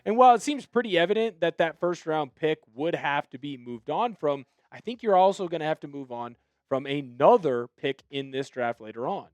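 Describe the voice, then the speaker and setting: A young man hosting a sports news commentary, talking with firm opinions in a fairly high voice. His delivery swings into animated excitement at times. The sound is dry and close, with no room echo. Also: moderate pace, hardly any pauses. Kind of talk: solo sports commentary video.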